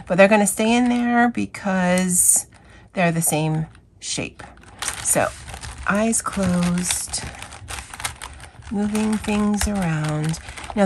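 A hoarse woman with a scratchy voice hosting a chatty, rambling coloring vlog. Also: lowish voice. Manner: chatty, rambling, with fillers and self-corrections. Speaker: craft channel host. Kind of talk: casual coloring vlog chat.

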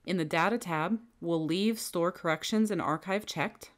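Low-voiced woman calmly guiding software steps. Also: moderate pace. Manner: calm, methodical step-by-step instructions. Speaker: software trainer. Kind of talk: scripted screen-recording tutorial. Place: voice-over over a screen recording.

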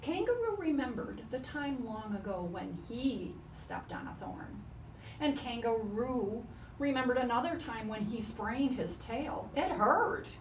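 Casting: female storyteller, unhurried and expressive, highish voice, recorded in an echoey room. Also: a little hiss or hum. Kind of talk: dramatic, expressive folk tale narration. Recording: echoey room, distant microphone. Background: live cello playing.

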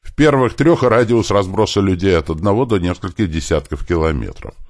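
A man's voice: sits low, animated, a little fast, darkish tone, no room sound, studio quality, voice pushed up. A man voicing an earnest male professor in an audiobook, explaining things politely and with emphasis. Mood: earnest, emphatic.

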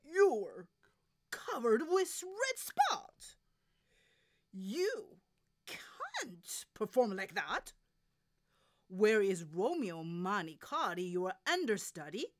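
A woman voicing a bossy, exasperated opera director in a storybook, loud and commanding. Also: medium pitch, very animated, very slow, long pauses, pitched above the performer's own voice. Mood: alarmed, demanding.

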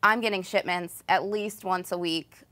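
A woman's voice: fairly low pitch, fairly even intonation, moderate pace, bright, forceful tone. An American woman in her thirties, a shop owner explaining her business in a conversational, plain-spoken interview style. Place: small home decor shop, indoors. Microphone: lapel microphone.

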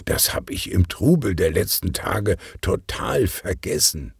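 A cheerful, portly police chief , as a male reader puts him on from a children's audiobook. His low voice takes its time and swings through lively inflections, teasing and good-humoured. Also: measured pace, creaky, husky, no room sound, clean studio sound, exaggerated voice. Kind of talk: children's audiobook character reading.

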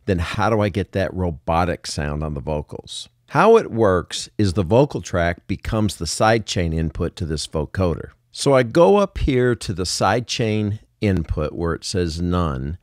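Male tutorial host, deep voice, dry close-mic sound, informal step-by-step explainer. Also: lively intonation, normal speed, hardly any pauses, dry recording. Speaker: music production tutorial host. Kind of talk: casual software tutorial narration.

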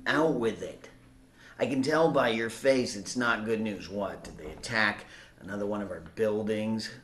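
An actor playing an imperious, cruel, short-tempered warlord in an audio drama, sneering and commanding. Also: male voice, on the low side, moderate pace, fairly bright tone, slight background noise, close to an everyday voice. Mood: cold, irritated. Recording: echoey room with music behind.

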